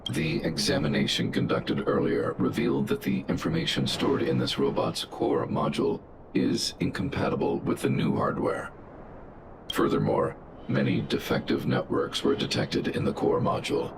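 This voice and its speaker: An actor voicing a huge, stoic guardian robot that gives flat, logical reports in percentages, his voice processed to sound robotic. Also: a bass voice, moderate pace, heavy background noise. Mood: calm analytic. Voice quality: gravelly, flat mechanical delivery. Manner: cold analytic reports in percentages. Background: game music.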